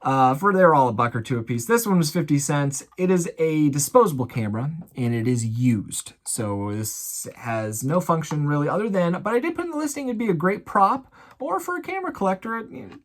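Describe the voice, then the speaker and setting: A man's voice: on the high side, animated, brisk. Chatty American man recapping online sales. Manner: folksy, chatty showman addressing viewers as folks. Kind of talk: casual vlog recap of items sold.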